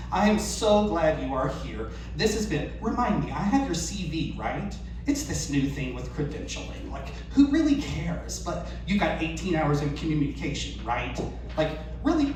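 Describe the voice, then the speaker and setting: A woman's voice: lowish voice, a little mellow. Man as scatterbrained woman professor, exasperated, breezy chatter, storytelling, noisy distant recording. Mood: exasperated, frazzled. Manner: rambling, breezy, dismissive. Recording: echoey, noisy room, distant microphone.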